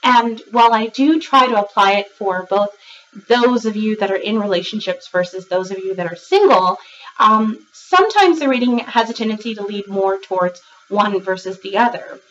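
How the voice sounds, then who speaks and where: A woman giving a rambling, chatty tarot reading to her viewers, a little raspy and congested from a cold, with many fillers and restarts. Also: medium pitch, moderate pace, fairly bright tone, recorded from a distance, highs cut off, slight background noise. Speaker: tarot reader. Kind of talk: casual monthly tarot reading to camera.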